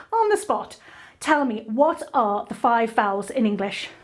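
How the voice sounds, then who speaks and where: A woman hosting a casual online singing lesson, chatty and playful with quick asides. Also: a little high, expressive. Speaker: singing teacher and host.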